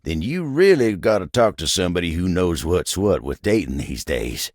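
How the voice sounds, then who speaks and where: A living table from a video game as a folksy, good-hearted cowboy. The voice sits very low, with a gravelly crackle and an American drawl that swings expressively as it talks. The delivery is earnest, friendly and reassuring, recorded close and dry. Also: male voice, sing-song, normal speed, no room sound. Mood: bashful, easygoing. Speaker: voice actor. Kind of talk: video game character dialogue.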